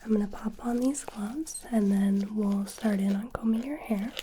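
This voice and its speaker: A woman whispering gently through a caring ASMR personal-attention roleplay, her voice a little hoarse and sniffly from hay fever. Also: medium pitch, moderate pace, noisy background. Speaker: ASMR creator.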